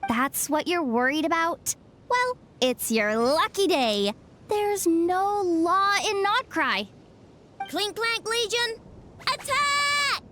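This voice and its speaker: A woman playing an excitable, bossy and impulsive girl companion in a video game. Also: extremely high pitch, slow pace, slight background noise, exaggerated voice. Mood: bossy, eager.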